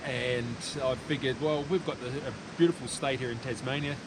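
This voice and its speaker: A man from New Zealand with a New Zealand accent, chatting outdoors as a guest in a relaxed, unhurried way with hesitant fillers. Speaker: guest, route mapper. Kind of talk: casual chat. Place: outdoors by the roadside. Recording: outdoor noise with vehicle rumble.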